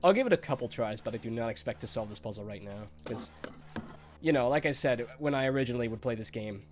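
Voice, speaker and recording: A man's voice: mid-range voice, expressive, fast, a little hiss or hum. Congested man chatting over a game. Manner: chatty and nostalgic, laughs often. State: congested from a cold. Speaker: game streamer. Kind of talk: casual game commentary.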